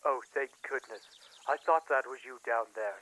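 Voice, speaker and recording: A man's voice: medium pitch, moderate pace, pushed and bright, lightly acted, low-quality recording. A man voicing a fussy, timid magical bird servant, prim and indignant, in an audio drama. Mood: relieved, fussy. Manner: prissy, hesitant, indignant.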